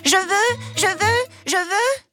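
A woman putting on a spoiled little boy prince from a children's storybook, shrill and bossy, with a voice pitched far above a woman's normal range. He sounds sharp, demanding and impatient. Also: slow, music in the background. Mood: whiny demanding. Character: capricious, tantrum-prone little boy prince. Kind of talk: storybook character voice.

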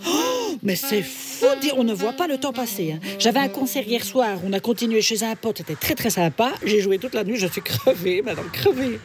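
A woman's voice: a little high, big pitch swings, normal speed, few pauses. A woman voicing a chatty, proud female saxophone from Belgium in a children's radio play, speaking with a thick Belgian French accent, theatrical and tired from playing all night. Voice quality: exaggerated accent, sing-song, theatrical. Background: children's music.

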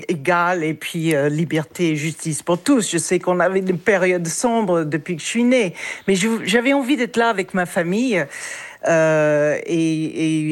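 An older woman calling in to a radio show, speaking haltingly with lots of fillers. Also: on the low side. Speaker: caller. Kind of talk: phone-in conversation.

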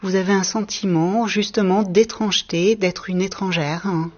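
Husky woman interviewer, lo-fi sound. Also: mid-range voice, fairly even intonation, normal speed. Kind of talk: interview questions.

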